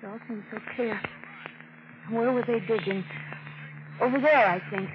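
An actress playing a frightened, distraught wife in a 1940s radio drama, with a halting, breathless, stammering delivery. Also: female voice, on the high side, lightly acted. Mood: fearful, secretive. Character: frightened, distraught young wife suspecting murder. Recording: noisy, muffled vintage radio recording.

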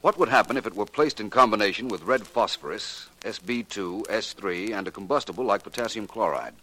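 Suave low-voiced gentleman detective, old radio. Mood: coolly probing. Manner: precise, polished, deliberate. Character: suave, self-assured gentleman amateur detective. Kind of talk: 1940s radio drama acting. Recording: noisy 1940s radio broadcast recording.

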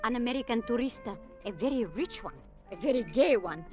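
An actress playing a doting, scolding Cuban café owner, emotional, in an old radio drama. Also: female voice, fairly high pitch, very animated, a little fast. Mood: confiding. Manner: emotional, fussing. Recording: muffled vintage radio recording, faint music.

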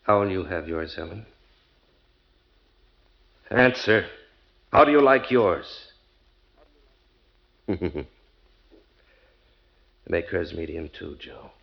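An actor playing a husband who shifts between amusement and menace in an old-time radio drama, speaking in clipped, insistent phrases. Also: male voice, low voice, expressive, takes it slowly, creaky, long pauses, full character voice. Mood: quiet menace. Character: Ernie, husband, by turns amused and quietly menacing. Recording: dull old radio recording.

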